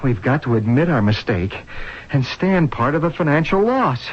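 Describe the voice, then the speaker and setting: An actor playing an anguished, determined man with an urgent, halting manner in a 1970s radio drama. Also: fairly high pitch, sing-song, noisy background. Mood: urgent, resolute. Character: anguished, determined man reborn in another man's body. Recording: dull 1970s radio recording.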